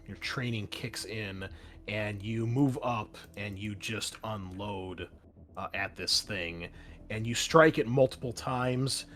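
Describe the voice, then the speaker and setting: A man working as game master, narrating a tabletop game and explaining its rules, with casual hesitant asides. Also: lowish voice.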